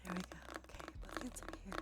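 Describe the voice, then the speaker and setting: A woman softly whispering casual ASMR chat to livestream viewers, relaxed and unhurried, with a slightly stuffy nose. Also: background noise. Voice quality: soft, breathy whisper. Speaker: livestream host. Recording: background music under close whispering. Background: music.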